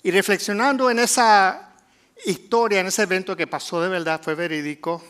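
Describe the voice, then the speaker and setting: Puerto Rican pastor, slightly high voice. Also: male voice, fairly even intonation, fairly bright tone, clear, resonant tone. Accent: Puerto Rican Spanish. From Puerto Rico. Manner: conversational, many filler "eh" pauses. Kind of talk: sermon.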